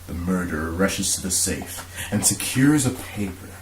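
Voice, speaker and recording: A man's voice: sits low, animated, moderate pace. A man giving dramatic scripted narration as the narrator of a radio play. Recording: roomy echo, faint hiss.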